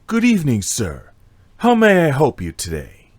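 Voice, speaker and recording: A man's voice: a little high, wildly swooping pitch, normal speed, husky, no room sound, heavily acted. A man voicing a courteous shopkeeper in an amateur audio drama, formal and obliging. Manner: polite, formal.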